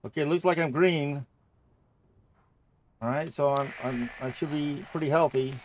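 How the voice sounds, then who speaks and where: A man with a slightly high voice plays a horror game and comments casually. He thinks out loud and often repeats his own phrases. There is faint ambient game noise behind him. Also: a little slow. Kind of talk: casual let's-play commentary.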